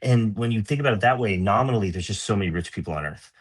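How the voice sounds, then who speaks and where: Man, low husky voice, rapid pace, casual podcast discussion, animated rambling delivery. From Lima, Peru. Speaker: podcast panelist.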